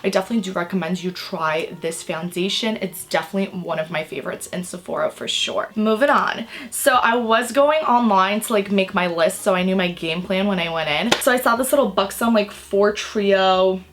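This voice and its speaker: A young woman vlogging about makeup she bought, her voice raspy and hoarse from a cold, chatting in a bubbly, rambling way. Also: medium pitch, normal speed, hardly any pauses. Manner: bubbly, rambling, lots of "like" and "really, really". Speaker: beauty vlogger. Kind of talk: casual chatty haul video. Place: indoors under filming lights.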